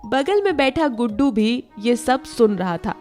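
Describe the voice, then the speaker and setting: A woman's voice: on the high side, big pitch swings, a little slow, darkish tone. A woman giving scripted narration for a children's story, addressing young listeners with a moral. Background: faint music.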